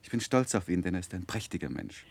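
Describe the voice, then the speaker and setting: Earnest, low-voiced young gentleman. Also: expressive, no room sound. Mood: earnest, fond. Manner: measured, polite, earnest. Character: earnest, fond young gentleman narrating his memories. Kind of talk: 1960s German radio play acting and narration. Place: dry radio studio.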